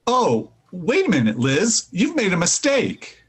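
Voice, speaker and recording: A man playing a good-natured, oblivious husband in an old-time radio comedy, boyish and animated. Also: high voice, unhurried, husky, exaggerated voice. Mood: breezy. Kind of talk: 1940s radio comedy acting.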